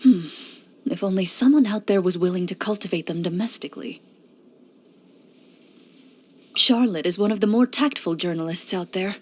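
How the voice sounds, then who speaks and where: Game role: female actor as a reserved woman court duelist, measured and formal, mid-range pitch. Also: natural voice. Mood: composed, dry. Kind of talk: scripted video game character voice lines.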